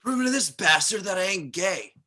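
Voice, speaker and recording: Man playing a brash, swooping slacker loudmouth. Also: high voice, expressive, brisk, full character voice. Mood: defensive. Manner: brash, crude. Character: cocky, foul-mouthed small-time slacker stoner. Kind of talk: amateur screenplay table read.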